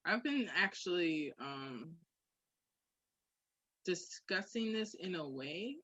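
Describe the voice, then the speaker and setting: A woman's voice: fairly low pitch, animated, takes it slowly, a bit bright, slightly rough, dry recording. A woman co-hosting a podcast in candid, casual chat, telling things conversationally with little acted asides. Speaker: podcast co-host.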